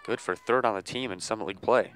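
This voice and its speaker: A man calling a softball game live on the radio, measured between plays and ready to burst into excited calls. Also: on the low side, soft background music. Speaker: play-by-play sports commentator.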